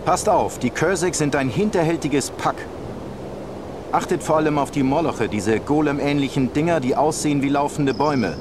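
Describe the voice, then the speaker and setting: An actor playing a soldier champion in a video game, giving orders and briefings in a commanding way. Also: male voice, fairly high pitch, normal speed, close to an everyday voice. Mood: earnest, briefing. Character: gruff male soldier champion escorting war turtles. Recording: noisy game battle ambience behind voice.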